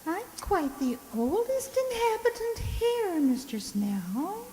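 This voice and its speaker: A grand, snobbish old matriarch in a radio play, high-voiced and swooping widely from note to note. She draws her words out slowly and haughtily, in a long hall reverb. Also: sing-song, warm tone, full character voice. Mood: grand, reminiscing. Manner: haughty, drawn-out, theatrical delivery. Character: imperious, snobbish, genteel old lady, matriarch of eighty. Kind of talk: radio drama acting.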